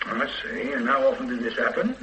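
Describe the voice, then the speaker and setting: A man plays a blunt police inspector in a vintage radio drama who grinds away at a reluctant witness. His voice is bright and lively, moving a lot in pitch. There is a faint hiss behind him. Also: fairly quick, natural voice, low-quality recording. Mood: pressing. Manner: pressing, sardonic, threatening when stonewalled. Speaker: police detective questioning a witness. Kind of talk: 1970s-80s radio drama acting.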